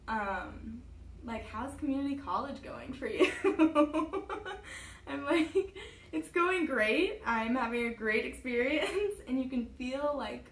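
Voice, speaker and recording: A woman's voice: sits high, animated, takes it slowly, echoey room, far from the mic. A young woman in her twenties, a student, chatting casually and upbeat, with frequent "like" and laughs. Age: 20s. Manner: upbeat, giggly, lots of "like". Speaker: student interviewee. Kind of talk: casual chat.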